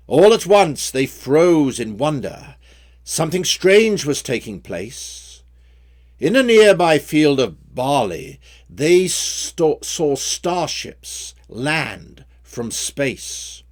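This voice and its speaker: Slow, expressive man reciting story poems. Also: mid-range voice. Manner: measured, sing-song metrical phrasing. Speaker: poet reading own poems. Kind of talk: rhymed storytelling poetry recitation.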